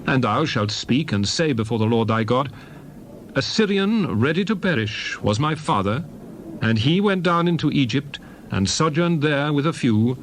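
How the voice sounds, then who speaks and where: A man narrating scripture as a dramatized reading, solemn, measured and declamatory. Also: medium pitch, animated, slight background noise. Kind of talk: dramatized Bible reading in archaic English.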